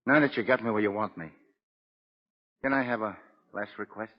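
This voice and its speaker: A man's voice: fairly low pitch, a little fast, lightly acted. Male actor as cocky American killer on death row, slangy tough talk, dulled 1940s radio sound. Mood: sly taunting. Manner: slangy, taunting. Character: cocky condemned killer, male, taunting tough-talking convict. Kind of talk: 1940s radio drama acting. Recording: muffled old radio recording.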